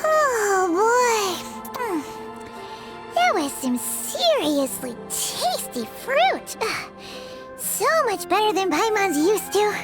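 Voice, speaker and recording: Game sidekick: excitable tiny female creature, very high and sing-song, game music under the lines. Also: slow pace, exaggerated voice. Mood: chatty. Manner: bubbly, exclamatory, speaks of herself in third person. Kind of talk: video game voice acting. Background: game background music.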